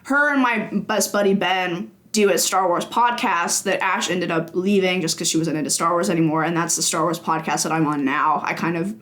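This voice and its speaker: A woman's voice: fairly low pitch, steady tone, moderate pace, few pauses, fairly bright tone. A woman in her twenties, a games journalist, talking casually on a podcast with lots of "like" fillers and laughter. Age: 20s. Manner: chatty, frequent "like" fillers, laughs often.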